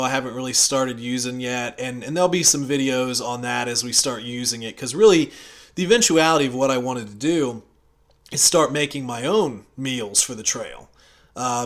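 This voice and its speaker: An American man talking casually and unscripted as a channel host, in a rambling, conversational way with hesitant pauses. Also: mid-range voice, fairly bright tone. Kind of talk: casual unscripted audio log.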